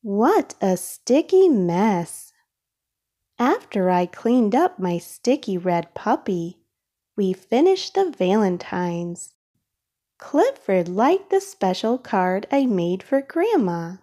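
A woman reading a children's storybook aloud in a careful, expressive way for young listeners. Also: medium pitch, slow. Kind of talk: read-aloud storybook narration.

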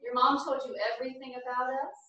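An actress as a high-voiced, gentle older woman. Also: level tone, fast, muffled recording, background noise, close to an everyday voice. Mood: puzzled, droll. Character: gentle, earnest older woman, easily puzzled, quietly droll. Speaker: actor in a staged reading. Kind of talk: theatrical staged reading. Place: small theatre stage. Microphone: distant camera. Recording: very echoey theatre, distant microphone.

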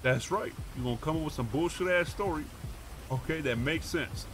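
A man's voice: a little high, moderate pace. Pushy streetwise man, noisy game audio. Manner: pushy, streetwise, slangy and profane. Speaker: roleplay gamer streaming. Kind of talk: in-character street talk in a game roleplay.

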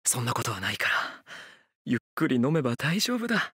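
A man's voice: mid-range voice, sing-song, fairly quick, sharp tone, slightly rough, no echo, studio quality, full character voice. An actor playing a doting, tearful, overanxious father in an anime comedy, fretful and effusive. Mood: calming. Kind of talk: Japanese anime comedy voice acting. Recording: music playing behind the voice.

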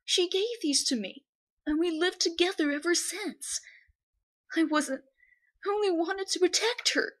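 A woman voicing a friendly, wistful young male wolf for an animation, earnest and halting when upset. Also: very high voice, fairly bright tone. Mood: sad, pleading.

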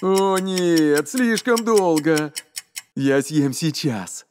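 An actor playing an excitable, childlike, whiny little mustached man in a preschool cartoon, with exaggerated, drawn-out exclamations. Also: a little high, a little hiss or hum. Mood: eager, impatient.

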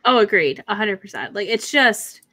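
A woman's voice: mid-range voice, normal speed, no echo. A woman in a casual book chat on a video call, gushing and often quoting her own reactions as she goes. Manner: animated, gushing, self-quoting. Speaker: book livestream co-host. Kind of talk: casual chat about books. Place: indoor room at home, on a video call.

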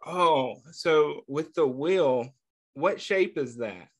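A man reading a picture book aloud to young children, pausing often to ask them questions and praise their answers, and calling himself by name in the third person. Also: fairly high pitch, lively intonation. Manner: gentle, patient, asks questions and pauses for answers. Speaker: children's story reader.

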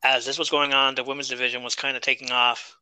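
Male podcast co-host, very bright and smooth voice, dry close mic, chatty and joking. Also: medium pitch, flat delivery, fast talker, no room sound, low-quality recording. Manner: chatty, reads notes, jokes and laughs. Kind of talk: casual wrestling review chat.

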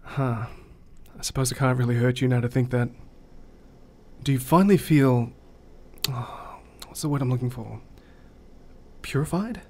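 A man's voice: medium pitch, moderate pace, long pauses, darkish tone, close to an everyday voice. An actor playing a pragmatic male therapist in an audio drama, reflective and matter-of-fact. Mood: detached, probing. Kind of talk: conversational audio drama acting.

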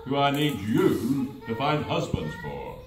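A man's voice: medium pitch, very animated, slow. An older man playing a pompous, grumpy sultan in an amateur stage pantomime, declaiming his lines. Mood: commanding. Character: grumpy, pompous sultan burdened with unmarried daughters. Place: village hall stage, indoors. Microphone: distant camera in the audience. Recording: echoey village hall, noisy.

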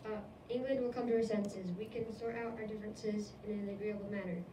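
A woman's voice: mid-range voice, fairly even intonation, a little fast, background noise, natural voice. A woman playing a proud male colonist who earnestly explains and argues, in an echoey room recorded from a distance. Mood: lecturing. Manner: earnest, lecturing, indignant.

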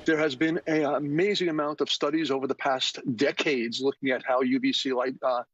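A man's voice: medium pitch, little pitch movement, brisk, slightly rough. A man in his fifties, a company founder, explaining his technology in a remote news interview, hesitant with many fillers and repeated words. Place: office with plants. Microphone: video call. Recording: dull lo-fi video call audio.

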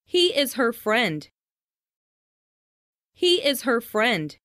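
A woman's voice: a little high, very animated, drawn-out words, fairly bright tone, no room sound, studio quality. A woman reading scripted example sentences carefully for language learners, in separated phrases. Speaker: language lesson narrator.

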